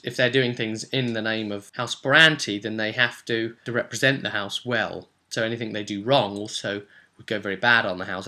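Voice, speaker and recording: A man hosting as game master, casually recounting a role-playing session with emphatic, animated storytelling. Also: medium pitch. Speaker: game master and vlog host.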